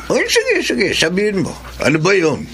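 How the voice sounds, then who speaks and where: A man's voice: high voice, wildly swooping pitch, normal speed, vocal fry, fairly bright tone, a little hiss or hum, exaggerated voice. An actor playing a jovial, greedy, hard-drinking middle-aged Filipino father in a radio drama. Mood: agreeable, teasing.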